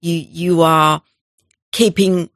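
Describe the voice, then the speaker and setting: Middle-aged woman w telling stories in an interview, halting english, lowish voice, dry close sound. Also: steady tone, no echo. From Taiwan. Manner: halting, non-native phrasing with restarts. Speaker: guest, animal welfare director. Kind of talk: conversational interview storytelling.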